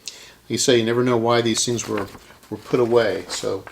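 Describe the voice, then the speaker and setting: A man with a gravelly voice casually narrating his hands-on repair work as host, musing and thinking aloud as he goes. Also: mid-range voice, steady tone, normal speed, slight background noise, rough recording. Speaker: host of a vintage radio repair video.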